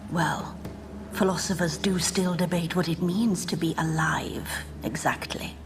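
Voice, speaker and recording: Lowish, enigmatic spirit creature. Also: female voice, fairly even intonation, music in the background. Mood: wry. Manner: measured, wry, formal. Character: enigmatic, aloof female spirit in animal guise. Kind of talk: video game acting.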